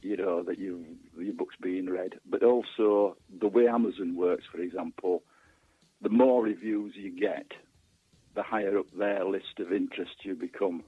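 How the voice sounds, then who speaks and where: A man being interviewed as a guest author and historian, speaking casually and haltingly, often repeating words mid-sentence. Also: sits low, fairly even intonation, slightly rough. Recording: muffled, narrow phone-line sound with echo.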